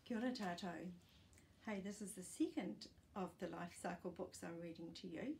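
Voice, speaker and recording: A woman, reading a children's book aloud in a deliberate, explanatory way with asides. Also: lowish voice, vocal fry, darkish tone. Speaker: storytime presenter reading to children. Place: small living room, indoors. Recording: faint room noise.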